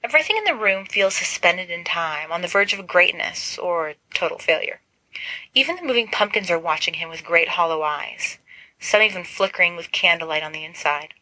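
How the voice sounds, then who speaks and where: A woman narrates a story with expressive, scripted delivery. Her voice is bright and forward, and the sound is close and dry, with hardly any room to it. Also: lowish voice, moderate pace, no echo, rough recording. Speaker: fan fiction audiobook reader.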